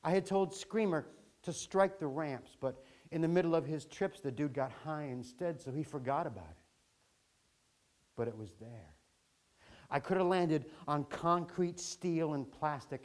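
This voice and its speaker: A man performing an autobiographical spoken-word story with theatrical, deliberate phrasing and wry asides. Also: a little high, darkish tone. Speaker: storyteller performing his own account.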